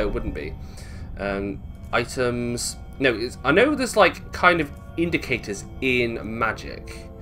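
A man's voice: mid-range voice, pushed and bright. A man giving casual, chatty gameplay commentary, thinking aloud and questioning himself as he goes. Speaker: gamer narrating a let's play.

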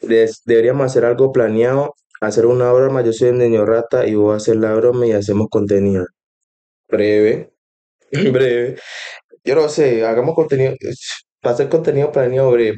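Casting: young man, Colombian accent, mellow voice, loose slangy reaction commentary. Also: medium pitch, dull audio. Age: young adult. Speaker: reaction streamer. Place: bedroom.

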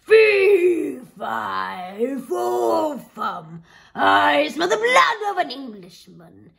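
A huge, hungry ogre from a storybook , acted by a woman. He speaks slowly and theatrically, with menace. The pitch rises and falls in wide sweeps. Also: big pitch swings, gravelly, fairly bright tone, heavily acted. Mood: menacing.

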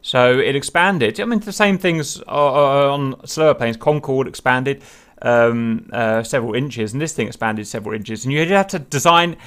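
A man's voice: mid-range voice, normal speed, a bit bright. A man hosting a casual group voice chat, thinking aloud with many fillers and wondering questions. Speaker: host leading a group discussion. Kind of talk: casual group chat over a cockpit picture.

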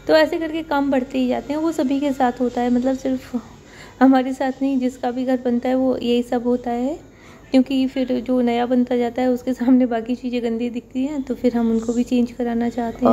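A woman in her thirties chats through a home vlog in a level, unhurried way. Her voice sits a little high but mellow, with little rise and fall. She records on a phone indoors, so the sound is slightly dull. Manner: chatty, explains daily chores at an even pace. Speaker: vlogger. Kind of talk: casual home vlog narration. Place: apartment room, indoors. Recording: slightly dull phone audio with faint room noise.

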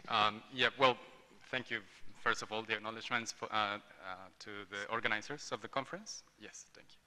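Man from mexico, forties, bright tense voice, conference table mic, reverberant ballroom. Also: lowish voice, steady tone, fairly quick, a little hiss or hum. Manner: hesitant, many ums and restarts. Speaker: conference speaker, industrial designer. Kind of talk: panel presentation. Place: large hotel ballroom roundtable. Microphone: table gooseneck mic. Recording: long hall reverb.

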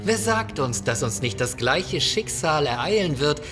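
Man as sceptical starship crewman: fairly high, very animated delivery, formal, sci-fi radio play. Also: unhurried, over music. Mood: worried objection. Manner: polite, objecting, formal. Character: cautious, sceptical male spaceship crewman. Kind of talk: audio drama acting in a sci-fi thriller.

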